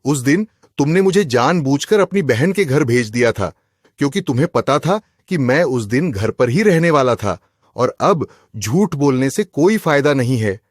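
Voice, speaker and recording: A suspicious, aggrieved husband from a cartoon story, acted by a man. His voice sits at a medium pitch. He speaks emphatically, as if every line were an accusation. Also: lively intonation, moderate pace, no echo, clean studio sound, natural voice. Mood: accusing. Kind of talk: Hindi cartoon voice acting.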